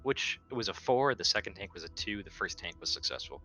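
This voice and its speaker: A man's voice: deep voice, lively intonation, a little fast, sharp tone, faint music behind. A man playing a tabletop roleplaying game, talking casually in character with blunt, sweary delivery.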